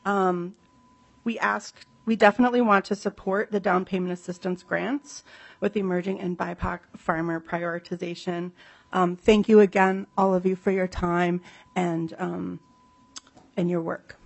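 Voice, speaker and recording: Woman witness at a hearing. Lowish pitch with vocal fry, polite, hesitant. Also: steady tone, normal speed. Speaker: witness testifying at a committee. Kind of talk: public testimony.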